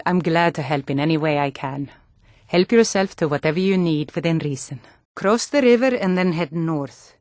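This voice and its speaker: An earnest, protective Nordic townswoman out of a fantasy video game. Her voice sits on the low side, with an emphatic, plain-spoken way of talking. The sound is close and dry, with no room to it. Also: no room sound. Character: earnest, protective Nord townswoman, no longer young, loyal to rebels.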